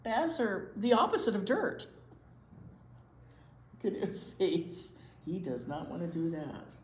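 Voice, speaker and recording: A woman reading a picture book aloud to young children in an expressive way, pausing to explain words simply. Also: lowish voice. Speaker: library storytime presenter, children's teacher. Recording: roomy echo, light background noise.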